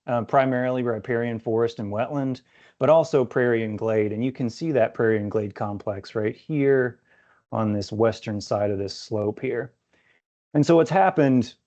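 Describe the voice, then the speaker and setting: A man giving an informative slide presentation in a measured, explanatory way, with a voice that is starting to go. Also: medium pitch, darkish tone. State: losing his voice, clearing throat. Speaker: conservation staff presenter. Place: virtual summit video call. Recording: dull lo-fi online call audio.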